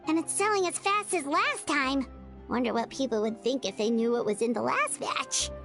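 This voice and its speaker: A woman's voice: high voice, normal speed, a bit bright. A woman voicing a tiny, cheeky, childlike fairy companion in a video game, chatty and exclamatory with a cartoonish delivery. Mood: surprised, chatty. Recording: background music under the voice.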